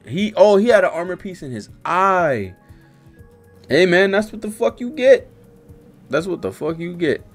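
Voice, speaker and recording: Young adult American male streamer, very lively intonation, casual slangy profane commentary, close desk mic, music underneath. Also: high-pitched, unhurried, long pauses. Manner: animated, slangy, profane reactions. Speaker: streamer reacting to a manga. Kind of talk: casual live read-along commentary. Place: home studio room with streaming setup. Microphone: desk microphone close by.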